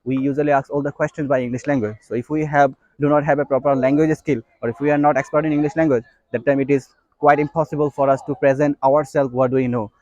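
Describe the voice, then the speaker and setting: A man's voice: a little high, a little mellow, no echo, highs cut off. A young man with a Bangladeshi accent and Bengali as his first language, giving an instructional talk to learners, repeating and stressing his points. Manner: repetitive, emphatic explaining. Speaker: English teacher.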